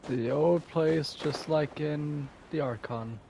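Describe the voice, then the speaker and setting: Guy muttering over his game, slow n croaky, noisy mic. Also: on the high side. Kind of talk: casual game playthrough commentary.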